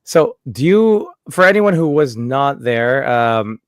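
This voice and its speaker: A man's voice: mid-range voice, sing-song, takes it slowly, clear, resonant tone, no echo, studio quality. A man hosting a casual podcast chat, upbeat and joking with his co-hosts. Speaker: podcast host.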